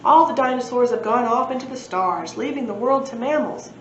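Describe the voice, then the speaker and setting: In an echoey room with a slightly dull sound, a woman plays a pompous dinosaur professor from a storybook. He speaks earnestly and formally, in wordy, matter-of-fact pronouncements, pitched on the high side. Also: fairly quick, close to an everyday voice. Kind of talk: storybook read-aloud. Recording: echoey room, muffled, faint noise.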